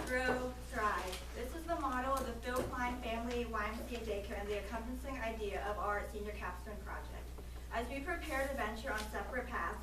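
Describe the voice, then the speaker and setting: Hesitant young woman, echoey distant room. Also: on the high side. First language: Vietnamese. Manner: hesitant, many ums and restarts. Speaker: student presenter. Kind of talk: class presentation. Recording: echoey room from far off, noisy.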